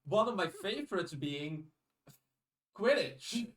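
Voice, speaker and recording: A man co-hosting a podcast in casual chat, giving opinions in a measured, hesitant way. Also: high-pitched, lively intonation, a little hiss or hum. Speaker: podcast co-host. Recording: slightly distant from the mic.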